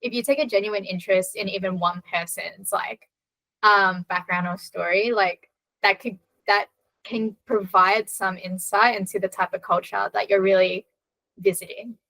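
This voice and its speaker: A woman's voice: medium pitch, fairly even intonation, moderate pace, bright, forceful tone, no room sound, rough recording. A woman from Australia, a podcast guest talking in an earnest, reflective way with many fillers. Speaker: podcast guest, content creator. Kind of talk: casual podcast chat.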